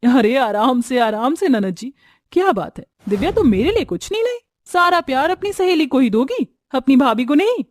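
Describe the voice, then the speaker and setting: A woman acts a generous young sister-in-law in a cartoon household tale. She is affectionate and teasing, with a highish voice and a dry, close sound. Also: big pitch swings, normal speed, dry recording.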